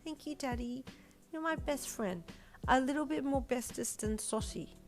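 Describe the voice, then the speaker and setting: A woman's voice: high voice, moderate pace, faint music behind, voice pushed up, close to an everyday voice. A woman voicing a sweet, earnest little girl in a story, childlike and easily flustered. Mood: earnest, affectionate.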